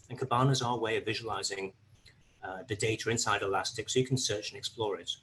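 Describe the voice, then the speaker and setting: Steady man explaining a tech product. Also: mid-range voice, a little hiss or hum. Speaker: webinar presenter. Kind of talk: scripted product overview.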